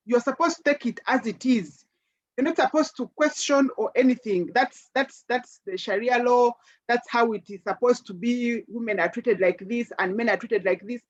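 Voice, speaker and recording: A woman from Uganda speaking earnestly as a webinar panelist, often repeating words as she searches for what to say next. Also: on the high side. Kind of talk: webinar discussion, personal account. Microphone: video call. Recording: dull lo-fi video call audio.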